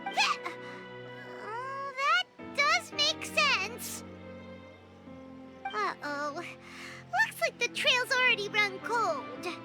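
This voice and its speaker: A woman voicing a small, childlike, excitable fairy companion in an anime-style game, blunt and given to exclaiming. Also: extremely high pitch, extremely slow, full character voice. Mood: glum. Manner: childlike, exclaiming, stammers when amazed. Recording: game music behind.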